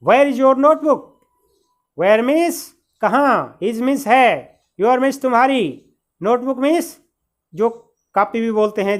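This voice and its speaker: Male teacher with a very high voice, drawling slowly through a beginner English class. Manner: patient, repeats each phrase, calls listeners "brother". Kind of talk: beginner spoken-English lesson.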